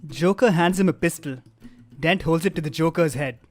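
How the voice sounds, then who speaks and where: A man narrating stage directions for a comic table read, with wry, deadpan asides. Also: on the high side, moderate pace. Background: live guitar music.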